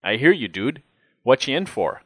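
A man voicing an excitable, fidgety young jail inmate in an audiobook, gushing like a starstruck fan in slangy dude talk. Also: medium pitch, moderate pace, slightly rough, no echo. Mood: friendly, ingratiating.

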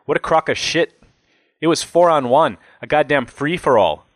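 Man acting wound-up angry young jailbird, pitched high. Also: expressive, normal speed, no room sound, natural voice. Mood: furious, indignant. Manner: agitated, ranting, heavy swearing. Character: angry, foul-mouthed 29-year-old jailed man, wiry, wound-up.

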